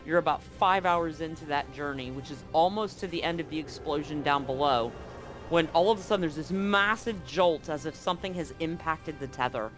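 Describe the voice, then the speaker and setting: A man's voice: a little high, a bit bright, soft background music. A man running a tabletop science fiction game as game master, narrating scenes and rules with frequent restarts. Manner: halting, restarts mid-sentence while describing scenes.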